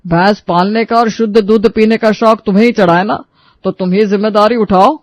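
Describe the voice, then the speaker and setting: A man's voice: high-pitched, fairly even intonation, fairly quick, dry recording, close to an everyday voice. A man voicing a nagging, sarcastic elderly husband in a cartoon story, sounding exasperated. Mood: sarcastic scolding.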